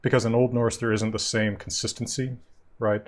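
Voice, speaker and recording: A man hosting a casual video call, patiently explaining grammar and reading old sentences aloud slowly. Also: on the low side, little pitch movement. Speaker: host.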